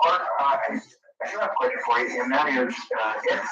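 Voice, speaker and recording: A man's voice: mid-range voice, animated, brisk. A man from the US Midwest calling in to a radio show, asking polite, hesitant questions. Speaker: caller. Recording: dull, echoey, distant radio recording with crowd noise.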